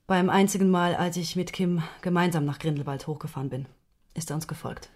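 A guarded woman in grief, with a fairly low voice, played by an actress. She confides as someone with a secret love would, in the natural dialogue of a radio drama. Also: fairly quick, darkish tone, close to an everyday voice. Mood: defensive.

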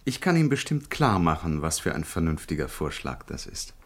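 An actor playing a menacingly genial crook in a radio drama, smooth-talking and insinuating. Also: male voice, rumbling low, big pitch swings, normal speed, a little hiss or hum, heavily acted. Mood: falsely genial, menacing. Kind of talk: 1970s radio drama acting.

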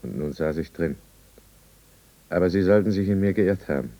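A man's voice: deep voice, moderate pace, warm tone. A man playing a proud, anxious and embittered prisoner in a 1950s German radio drama, formal and intense. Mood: bitter, narrating. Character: anxious, proud, embittered male prisoner telling his downfall.

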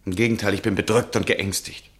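An actor playing an anxious, resigned nobleman and husband, speaking in earnest, measured, formal German radio drama style. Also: on the low side, steady tone, close to an everyday voice. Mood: oppressed, urgent. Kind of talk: German radio play acting.

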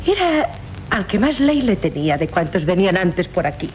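An actress playing a bustling, teasing, protective nursemaid in Spanish radio theatre, wry and familiar, with a Castilian accent. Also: female voice, lowish voice, big pitch swings, normal speed, a little mellow. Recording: faintly noisy 1970s radio recording.

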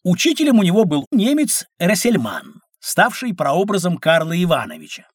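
A kindly, learned uncle in an educational audio play. His fairly high, slightly husky voice moves in lively swings as he explains things to children, recorded close and dry. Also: moderate pace, no room sound, heavily acted. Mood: explanatory, kindly. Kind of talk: scripted educational audio drama.

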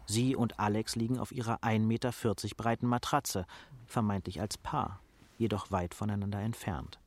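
A man narrating a scripted radio drama as a sober literary storyteller, measured and dryly ironic. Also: lowish voice, fairly even intonation, lightly acted. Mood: matter-of-fact.

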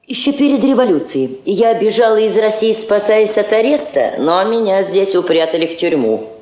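A woman's voice: medium pitch, animated, moderate pace, warm tone, lightly acted. Actress as composed woman diplomat, roomy sound. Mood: composed, wry. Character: dignified, wry woman Soviet diplomat. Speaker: actor. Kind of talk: Soviet radio play acting. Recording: roomy old studio recording.

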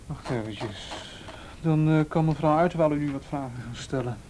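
An actor in a radio play playing a firm, patient policeman, measured and matter-of-fact. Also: a little high, warm tone, lightly acted. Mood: businesslike. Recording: faintly noisy radio drama recording.

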